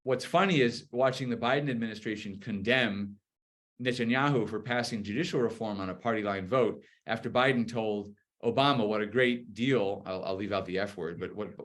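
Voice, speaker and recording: A man giving conversational political commentary as a guest speaker, articulate and explanatory, arguing point by point. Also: medium pitch.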